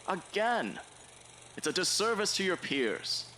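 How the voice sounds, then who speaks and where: A man plays a stern academy headmaster in an audio drama, his bright, forceful voice swinging widely in pitch. He scolds formally and scornfully, over noticeable background noise. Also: sing-song, unhurried, exaggerated voice. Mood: stern reproach.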